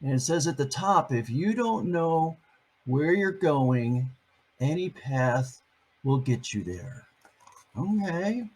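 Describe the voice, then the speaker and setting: Older man, grandfather type, husky and lively, reading a picture book to the grandkids, playful asides. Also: on the high side, slow pace. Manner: playful, chatty, asks the listeners questions.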